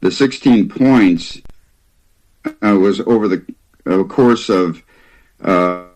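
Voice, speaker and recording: A man in his seventies, a guest author telling his story in an interview, hesitant with many pauses and fillers. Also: deep voice, a little mellow, low-quality recording. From Hungary, raised in the US Midwest. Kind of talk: conversational interview.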